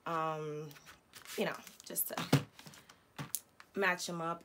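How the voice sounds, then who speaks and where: A young woman talks through her makeup stash in a hoarse, raspy, slightly low voice. Her manner is chatty and full of slang. The recording is from a home beauty room, with faint background hiss. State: hoarse, lost voice. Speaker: beauty vlogger. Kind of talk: casual chatty product talk.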